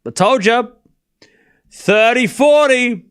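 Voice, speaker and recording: A man's voice: very high-pitched, lively intonation, slow, fairly bright tone, clean tone. A man with an Australian accent, giving casual live tennis commentary and teasing his chat. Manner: teasing, boastful, talks straight to chat.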